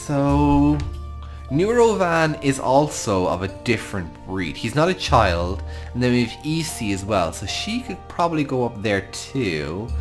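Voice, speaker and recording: Irish accent, game commentary, improvised storytelling, affectionate manner, highly animated intonation, music behind. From Ireland. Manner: talks affectionately to game creatures. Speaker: gaming video host.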